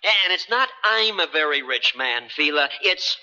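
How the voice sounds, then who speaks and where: An actor playing a wry, stubborn, suspicious adventurer in a radio drama, with hesitant, colloquial asides. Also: male voice, a little high, lively intonation, moderate pace, pushed and bright, big-room sound, lo-fi sound, a little hiss or hum. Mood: wry, pleased. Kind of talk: 1970s American radio drama acting. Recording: vintage radio drama broadcast.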